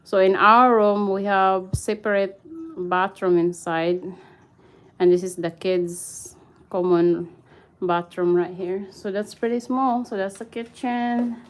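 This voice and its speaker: Slow-talking Filipino-accented woman. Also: medium pitch, flat delivery. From Philippines. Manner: slow, chatty, greets viewers by name. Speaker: vlogger, mother. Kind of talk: casual live-stream house tour.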